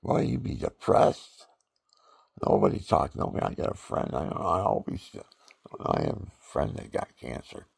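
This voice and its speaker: An older man with a deep voice, talking in a rambling, folksy personal monologue full of fillers. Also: big pitch swings, moderate pace, gravelly, a little mellow, husky. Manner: folksy, meandering, with many fillers.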